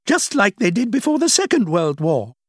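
A man voicing a sharp, skeptical older woman who lectures and scoffs, in an audiobook reading. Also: high-pitched, big pitch swings, moderate pace, no echo, studio quality, voice pushed up. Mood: scornful. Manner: scornful, lecturing, emphatic.

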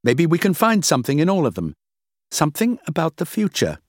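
A man's voice: fairly high pitch, wildly swooping pitch, fairly quick, no echo, clean studio sound, voice pushed up. A man voicing a curious, earnest young woman researcher in an audiobook reading. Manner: polite, earnest, questioning.

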